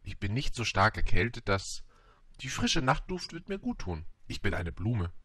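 A man voicing a proud, gentle and stubborn female flower in a storybook, tender yet vain. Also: fairly low pitch, sing-song, no echo, full character voice. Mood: brave, proud. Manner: tender yet vain, brisk when hurt.

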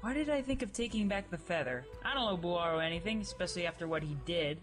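A woman's voice: lowish voice, lively intonation, quick pace, music in the background, close to an everyday voice. A woman voicing a sarcastic, brash young dead woman in a fan radio play, snappy and exclaiming. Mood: snarky.

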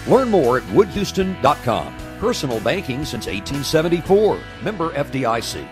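A man's voice: fairly high pitch, normal speed. A man announcing a bank commercial in a polished, promotional scripted read. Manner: lively, polished promotional delivery. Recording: ad over clear guitar music.

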